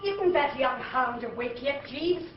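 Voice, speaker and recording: Woman voicing a hearty bossy aunt, high voice, brusque, lo-fi. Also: a little slow, heavy background noise. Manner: brusque, booming. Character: loud, bossy, hearty middle-aged aunt. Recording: dull lo-fi recording.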